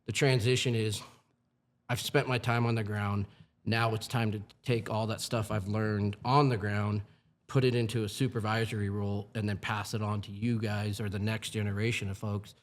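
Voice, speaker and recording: A man's voice: on the low side, little pitch movement, normal speed. A man in his forties, a firefighting supervisor, talking in an earnest, plain-spoken way with many asides in a casual podcast chat. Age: 40s.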